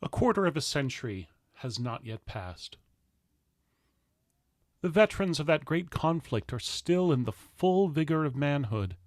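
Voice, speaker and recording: A man with a fairly high voice delivers a historical address in a very dry acoustic. He reads it as formal oratory, solemn and declamatory. His phrases are measured and set apart by deliberate pauses. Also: sing-song, no room sound. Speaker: reader of a historical speech. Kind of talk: formal oratory read aloud from a script.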